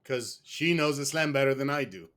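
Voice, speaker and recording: A man's voice: medium pitch, lively intonation, moderate pace, a bit bright. Rambling man telling his story. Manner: rambling, with restarts and fillers. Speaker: guest. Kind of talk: casual interview talk. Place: West.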